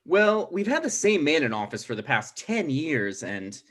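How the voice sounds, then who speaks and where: A man's voice: on the high side, very animated, normal speed, a bit bright. A man playing a hesitant, anxious thirty-five-year-old mayoral candidate with a mild manner in a comedy table read. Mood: cautious.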